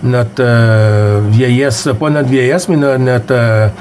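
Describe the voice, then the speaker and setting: Man, Québécois French accent, CB radio operator, friendly casual chat, middle pitch, reads out call signs. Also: fairly even intonation, a little mellow. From Quebec. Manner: friendly and unhurried, reading out call signs and signal reports.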